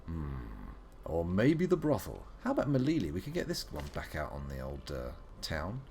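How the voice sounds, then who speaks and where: A man's voice: sits low, wildly swooping pitch, moderate pace, vocal fry, darkish tone, slight background noise. A young man streaming a video game live, playful and joking, with muttered asides.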